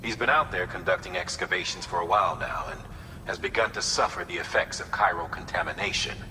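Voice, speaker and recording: An actor playing a calm male commander who briefs in a measured way. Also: low-pitched, slight background noise, low-quality recording. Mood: briefing. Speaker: mission director character.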